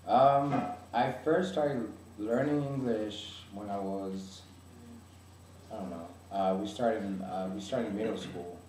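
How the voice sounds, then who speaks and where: A man's voice: lowish voice, takes it slowly, darkish tone, slight background noise. Young French man speaking English, far from the mic. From France. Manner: hesitant, many fillers and restarts. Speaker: interviewee. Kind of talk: casual interview answers. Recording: echoey room from a distance.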